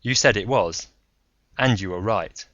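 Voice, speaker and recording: A man voicing a calm, candid male agent in an audiobook story, measured and explanatory. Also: fairly low pitch, no room sound, highs cut off.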